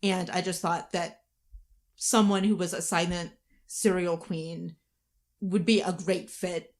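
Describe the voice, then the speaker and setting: Low-voiced woman chatting on a podcast. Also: flat delivery, slow pace. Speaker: podcast co-host, writer and performer. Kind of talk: casual behind-the-scenes podcast chat.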